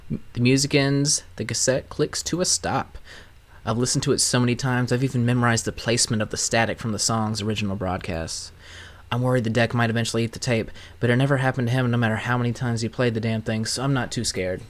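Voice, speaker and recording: A man's voice: mid-range voice, steady tone, fast. A man doing an informal let's play, chatting casually and reading game text aloud. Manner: informal, swears freely. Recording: loud noise behind the voice.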